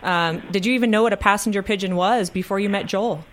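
A woman's voice: medium pitch, fast talker. Woman host introducing a guest. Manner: reads guest introduction, filler-heavy. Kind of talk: radio talk show hosting.

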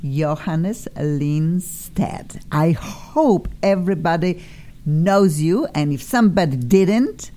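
A woman's voice: on the low side, animated, slow pace, dark tone. A woman with a Polish accent hosting a live radio interview show, effusive and hesitant with many fillers. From Poland.

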